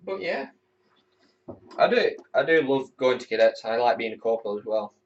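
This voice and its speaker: A man's voice: medium pitch, recorded from a distance, slight background noise. A teenage lad in the air cadets talks casually to his camera at home, rambling freely and swearing now and then. He sits some way from the mic. The sound is dull and muffled. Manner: candid, rambling, swears when annoyed.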